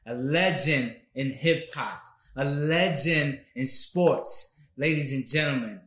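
A man's voice: medium pitch, slow pace, fairly bright tone. Effusive male podcast host in an echoey room. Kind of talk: podcast interview with a showman-style introduction.

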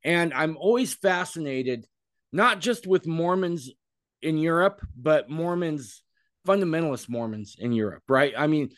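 A man's voice: a little high, expressive, a little slow, dry recording. A man hosting a podcast, chatting casually and rambling, repeating words as he gathers his thoughts. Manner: rambling, self-deprecating, repeats words while thinking. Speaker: podcast host. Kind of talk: casual interview chat.

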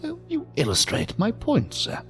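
A man's voice: sits high, wildly swooping pitch, moderate pace, a bit bright, full character voice. An actor in an audio drama playing an odd, courteous, philosophical old man, speaking in a formal, ornate, theatrically sing-song way. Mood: coy, mysterious. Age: older. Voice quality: creaky, gravelly, breathy at times. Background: music.

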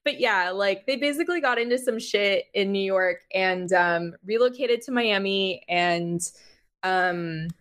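A woman's voice: mid-range voice, a little slow, a bit bright. A young adult American woman from Florida talking casually as a podcast guest, in an informal, rambling way sprinkled with "like". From United States (Florida). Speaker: podcast guest, music journalist. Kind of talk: casual conversational interview.

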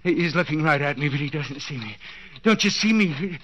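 A man's voice: on the high side, animated, normal speed, a bit bright. An actor playing a bound, desperate man coming apart in a cellar, stammering and pleading in overwrought 1940s radio suspense style. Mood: urgent, desperate. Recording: dull, noisy 1940s radio recording.

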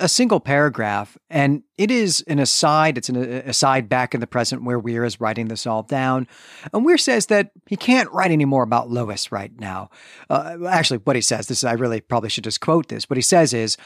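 A man's voice: medium pitch, no echo, studio quality. A man co-hosting a literary podcast, explaining and analysing conversationally, thinking aloud in long, winding sentences. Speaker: co-host of literary discussion podcast.

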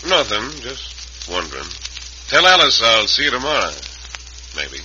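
An actor playing a cold, ruthless Western bank robber in a radio drama, with terse, folksy cowboy phrasing. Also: male voice, mid-range voice, big pitch swings, unhurried, bright, forceful tone, exaggerated voice. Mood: evasive. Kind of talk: old-time radio western acting. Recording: dull, hissy old radio recording.

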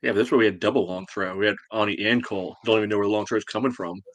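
Fast, dry-humoured man with lowish voice. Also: steady tone, fairly bright tone. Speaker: podcast co-host, sports fan. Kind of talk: casual soccer fan discussion.

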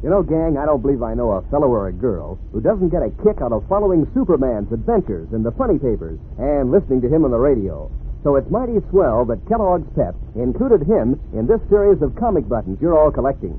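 An American man doing a chummy, folksy 1940s radio sales pitch aimed at children. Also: very animated, a little fast. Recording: vintage radio, narrow band, faint noise.

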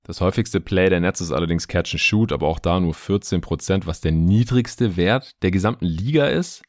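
A man's voice: low-pitched, a little fast, no room sound, studio quality. A man hosting a sports podcast, explaining basketball analysis in an informal, conversational monologue with casual asides.

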